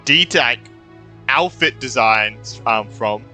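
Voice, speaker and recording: Brief: male gaming streamer, bright forceful tone, animated pitch, casual slangy reactions, faint music behind. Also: takes it slowly. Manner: informal, slangy, offhand muttering with swearing. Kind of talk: casual live gameplay reaction commentary.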